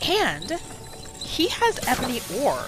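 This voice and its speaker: A woman hosting a gaming roleplay series, telling the story in short phrases with dramatic pauses. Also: high voice, wildly swooping pitch, music in the background. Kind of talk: storytelling gameplay narration.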